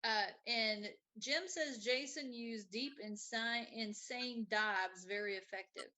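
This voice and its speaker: A woman's voice: on the high side, takes it slowly, pushed and bright. A woman hosting a live show in a casual, rambling conversational way, chatting and reading out comments.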